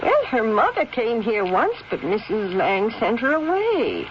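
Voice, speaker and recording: A woman's voice: fairly high pitch, huge pitch swings, unhurried. A woman acting in a 1970s radio drama as an anxious, deferential old housekeeper. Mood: deferential, confiding. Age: older. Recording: muffled, hissy 1970s radio broadcast.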